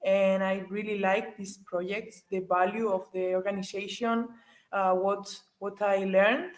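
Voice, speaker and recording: Eighteen-year-old woman from Spain, halting. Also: medium pitch, fairly even intonation. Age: teens. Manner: halting, searching for words. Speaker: participant. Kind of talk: interview answers. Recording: echoey space.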